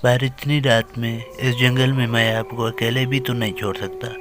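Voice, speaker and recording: A man voices a kindly young villager in an audio horror tale. He is earnest, polite and concerned, with a medium pitch and light music behind him. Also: level tone, moderate pace, fairly bright tone, lightly acted. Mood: reassuring. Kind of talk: dramatised Hindi horror story acting.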